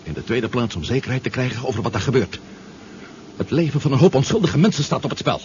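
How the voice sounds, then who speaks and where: An actor playing a nervous but determined man on a deep-sea spy mission in a 1970s radio drama, anxious and questioning. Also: animated, fast, a little mellow, lightly acted. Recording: dull, noisy 1970s radio recording.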